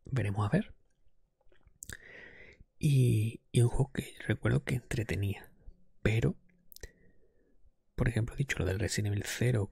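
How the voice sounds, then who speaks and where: Male, ASMR host, soft breathy whispering, creaky fry, very dry close-mic sound, conversational, unhurried. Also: fairly low pitch, no echo. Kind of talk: ASMR, improvised ranking of video games.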